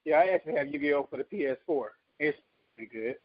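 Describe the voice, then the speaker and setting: A man's voice: fairly high pitch, fast, slightly rough, no room sound, lo-fi sound. A man co-hosting a podcast in a casual, conversational style. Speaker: podcast co-host. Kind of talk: casual chat.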